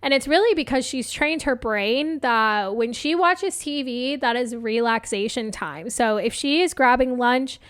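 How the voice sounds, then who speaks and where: A young adult woman hosting a solo coaching podcast, chatty and upbeat with lots of fillers, her voice a bit hoarse. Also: on the high side, expressive, a little slow, few pauses, fairly bright tone, no echo, clean studio sound. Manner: chatty, upbeat, laughs often, many fillers. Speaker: podcast host and life coach. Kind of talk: casual solo podcast talk.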